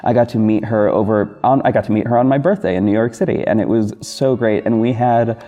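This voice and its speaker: A man's voice: fairly low pitch, brisk, warm tone, muffled recording. A man chatting casually to his listeners as a podcast host, with an effusive, gushing, informal manner. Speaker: podcast host and audio drama creator. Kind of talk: casual solo chat to listeners over background music. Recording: music bed behind the voice. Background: music.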